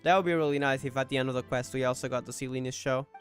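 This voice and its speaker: A man's voice: medium pitch, steady tone, rapid-fire. A man giving casual reaction commentary as he plays through a game, thinking aloud hesitantly. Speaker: gamer reacting to a story quest. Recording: game music behind.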